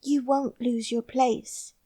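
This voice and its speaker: A woman's voice: a little high, little pitch movement, slow, dark tone, husky, no room sound, pitched above the performer's own voice. A woman voicing an earnest, outspoken young girl in a storybook reading.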